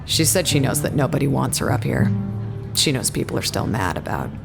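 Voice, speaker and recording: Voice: mid-range voice, big pitch swings, brisk, vocal fry, over loud music. An actor playing a weary, wry facility worker in audio drama dialogue, casual, hesitant and understated. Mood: subdued.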